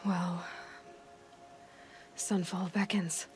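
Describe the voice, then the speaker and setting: An actress voicing a young woman warrior in a video game, resolute and lonely, speaking in a soft, breathy, halting and reflective way. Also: fairly low pitch, flat delivery. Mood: somber, reflective. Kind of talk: video game character monologue. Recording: studio game dialogue over background music.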